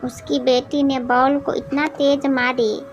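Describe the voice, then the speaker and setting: A woman's voice: sits high, flat delivery, takes it slowly, lo-fi sound. A woman narrating a scripted moral story as its storyteller. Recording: clearly noisy background.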